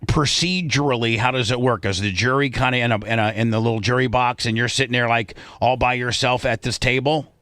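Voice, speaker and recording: Bright, forceful American man hosting talk radio. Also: medium pitch, steady tone, brisk, few pauses, no room sound, clean studio sound. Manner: blunt, pressing questioner.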